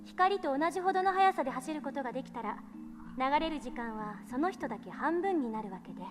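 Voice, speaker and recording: A gutsy, composed teenage genius girl lectures confidently in a visual novel game. Her voice is high and extremely quick, with music clearly playing behind it. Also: expressive. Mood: composed, explanatory. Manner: explanatory, confident. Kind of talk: Japanese visual novel voice acting.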